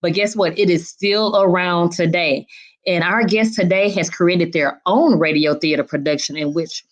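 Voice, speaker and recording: Woman, show host, slightly low pitch, informal, chatty, upbeat interview style. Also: moderate pace.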